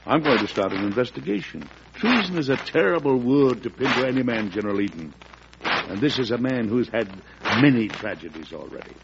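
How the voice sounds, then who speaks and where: An actor playing a weary, compassionate early president in a radio drama, speaking gravely and deliberately. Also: male voice, medium pitch, lively intonation. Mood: calm, measured. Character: weary, measured, compassionate male president of the early United States. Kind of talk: old-time American radio drama acting. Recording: dull, noisy vintage radio recording.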